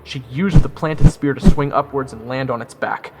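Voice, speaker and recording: A man's voice: mid-range voice, normal speed, heavy background noise, lightly acted. A man playing a wry soldier who narrates his own fantasy story in a matter-of-fact way. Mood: urgent. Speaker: narrator of audio roleplay. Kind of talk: first-person scripted narration.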